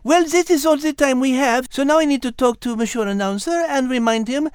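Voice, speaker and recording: French female cat co-host voiced by a woman: high, polite, prim, clever, for a kids' cartoon-style podcast. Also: a little fast, clear, resonant tone, no echo, clean studio sound, lightly acted. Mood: warm, courteous. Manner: polite, dainty, hesitant when flustered.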